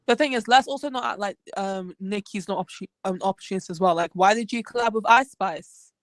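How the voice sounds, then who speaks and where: A woman's voice: medium pitch, fairly quick, no room sound. A woman chatting casually as a gossip panelist, pointed and challenging, often repeating herself for emphasis.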